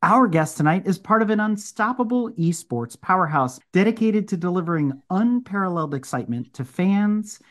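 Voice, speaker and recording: A man's voice: high-pitched, expressive, normal speed, hardly any pauses. A man hosting a podcast, delivering prepared introductions and interview questions. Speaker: podcast host.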